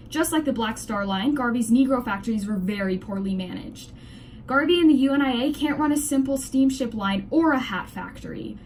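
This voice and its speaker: A woman's voice: high voice, a little mellow, slight background noise. A young woman reading a scripted news commentary aloud with scornful opinions. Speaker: presenter of a student-made 1920s-style news report.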